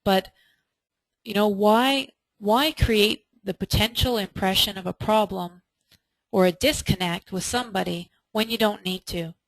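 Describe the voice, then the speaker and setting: A woman's voice: medium pitch, measured pace, dry recording, poor audio. Emphatic woman teaching a webinar, dry sound. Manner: emphatic, asks rhetorical questions. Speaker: webinar presenter and resume instructor. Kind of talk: webinar teaching, answering participants' questions. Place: indoors, online webinar.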